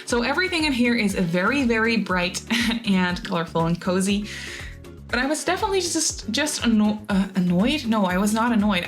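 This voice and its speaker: A woman who learned English as a second language, chatting in a rambling, casual voiceover, laughing at herself now and then and filling gaps with "um" and "like". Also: medium pitch. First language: not English. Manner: rambly, chatty, many fillers and restarts. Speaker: Sims builder and YouTuber. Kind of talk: casual voiceover of a speed build video.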